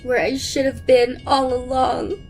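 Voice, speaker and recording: A woman's voice: high voice, level tone, measured pace, a little mellow, soft background music, poor audio. A woman playing a cold, disdainful young girlfriend in a dramatized horror story. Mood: cold, resolute.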